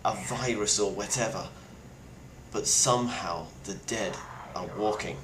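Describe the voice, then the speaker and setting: Male actor as weary apocalypse survivor, lowish voice, blunt and grave video testimony, noisy roomy sound. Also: measured pace, lightly acted. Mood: grim, wary. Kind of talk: in-character monologue, found-footage video diary. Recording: roomy echo with clear background noise.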